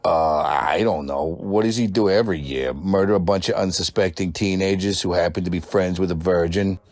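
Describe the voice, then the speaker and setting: A man voicing a gruff, sardonic cartoon monster dad. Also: sits low, fairly quick, darkish tone. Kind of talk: animated cartoon acting.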